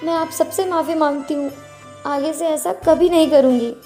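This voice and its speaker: A woman's voice: sits high, steady tone, moderate pace, warm tone, soft background music, close to an everyday voice. A woman voicing an anxious young daughter-in-law in a moral story. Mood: pleading. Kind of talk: dramatized moral story dialogue.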